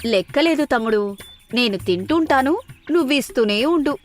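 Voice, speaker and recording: A woman plays a shameless, food-loving elder sister in a moral story. The voice is high, with a guileless, self-excusing manner, over faint music. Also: expressive, moderate pace. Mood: nonchalant.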